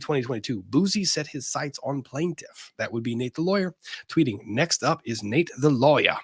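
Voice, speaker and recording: A man with a husky, slightly rough voice at a middle pitch, recorded dry and close. He reads formal legal text aloud with dramatic emphasis, stepping out of it for pointed asides as a commentary show host would. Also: fairly bright tone, no room sound. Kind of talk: dramatic reading of a lawsuit with asides.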